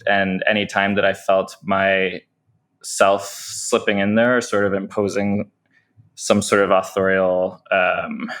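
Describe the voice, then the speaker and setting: A man's voice: sits low, little pitch movement, slow, a bit bright. A man speaking as a playwright in a thoughtful interview, hesitant and often correcting himself as he searches for phrasing.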